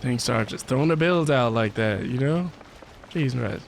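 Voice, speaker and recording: A man's voice: medium pitch, lively intonation, normal speed, gravelly, slight background noise. A man chatting casually as a live game streamer, with a playful, theatrical and mock-dramatic manner.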